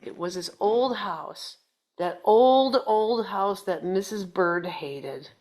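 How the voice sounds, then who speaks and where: A woman's voice: medium pitch, slow, darkish tone, poor audio. A woman reading a children's picture book aloud, playfully chatting to young listeners in little asides. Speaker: storybook reader.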